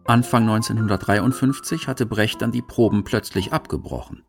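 A man's voice: fairly low pitch, normal speed, creaky, faint music behind. A man reading scripted narration for a radio documentary drama.

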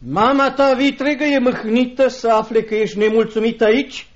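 An actor playing a cruel, gloating schoolmaster in a theatrical radio drama, pompous and bullying with a mock-jovial manner. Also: male voice, very high-pitched, steady tone. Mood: sneering, officious. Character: cruel, gloating schoolmaster tyrant, a grown man. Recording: dull lo-fi radio play recording.

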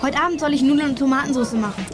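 A woman's voice: sits high, racing, noisy background, natural voice. A woman playing a chatty, curious little girl in a German children's audio drama. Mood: eager.

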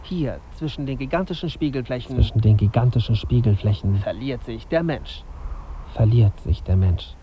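An actor playing a brooding, irritable young journalist in a radio drama, terse in dialogue and introspective in inner monologue. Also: male voice, medium pitch, animated, moderate pace, muffled recording, slight background noise, lightly acted.

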